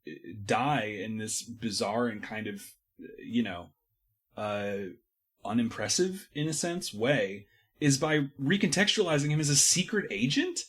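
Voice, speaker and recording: A man with an average-pitched, somewhat husky voice talks through his analysis as a podcast panelist. His delivery is conversational and slangy, mixing close argument with casual phrasing. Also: unhurried. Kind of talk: casual book discussion.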